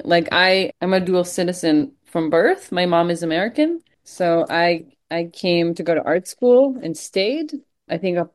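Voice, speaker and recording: A woman's voice: fairly low pitch, darkish tone. A woman born and raised in Israel, speaking earnestly as an event organizer in an interview, with frequent fillers. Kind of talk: casual interview answers.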